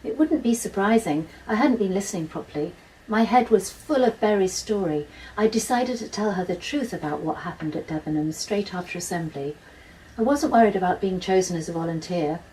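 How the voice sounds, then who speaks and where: A woman's voice: medium pitch, lively intonation, fairly quick, darkish tone, far from the mic, a little hiss or hum, low-quality recording. A woman narrating a first-person children's story expressively, as a school-age storyteller. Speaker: author reading from a children's novel.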